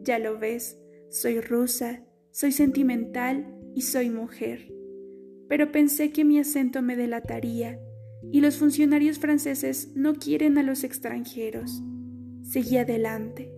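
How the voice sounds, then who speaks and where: A woman with a dark, mellow voice, pitched a little high, reads a letter aloud slowly and wistfully. Quiet guitar plays faintly behind her as she narrates. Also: fairly even intonation, creaky. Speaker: reader of a literary letter. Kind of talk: scripted audiobook reading.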